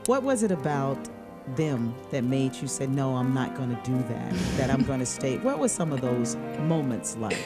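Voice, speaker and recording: A woman hosts a radio interview with a voice that swoops up and down expressively. She chats playfully and jumps in with quick questions and asides. A clear music bed runs under her voice in the studio. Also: rumbling low, sing-song. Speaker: radio talk show host.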